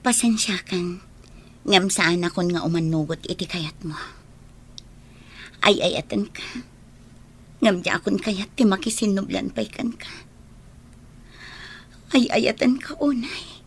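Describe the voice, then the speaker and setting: A woman's voice: mid-range voice, slow, pauses often, breathy edge. A woman in a radio drama playing a hurt but firm woman turning down her former lover. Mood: hurt, tender.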